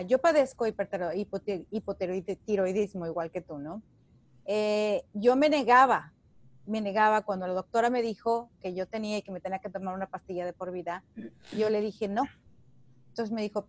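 A woman's voice: mid-range voice, darkish tone, dry recording. A woman from Mexico with a Mexican accent, talking candidly in an emphatic, explanatory conversational way.

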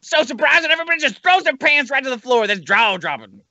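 A man's voice: extremely high pitch, very animated, fast, sharp tone, no room sound, muffled recording. A man appearing as a podcast guest, ranting casually and comedically in a crude, sardonic, profane way. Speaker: podcast guest, show host himself.

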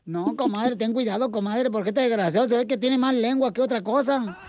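High-voiced teasing radio host. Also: male voice, a little mellow, a little hiss or hum. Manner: playful, teasing, quick jokes. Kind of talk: teasing comedy radio banter.

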